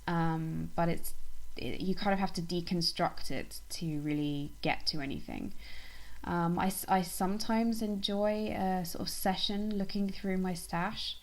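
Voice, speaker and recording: Gravelly, low-voiced woman vlogger, slightly nasal. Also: unhurried. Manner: rambling, with many ums and restarts. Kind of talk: casual chat to camera.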